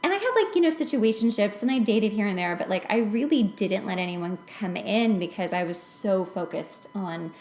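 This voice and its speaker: A woman's voice: medium pitch, expressive, normal speed, hardly any pauses, darkish tone, a little hiss or hum. Chatty American woman in a roomy space. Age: late 20s. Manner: chatty, self-deprecating. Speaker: podcast host and author. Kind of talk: casual personal storytelling in conversation.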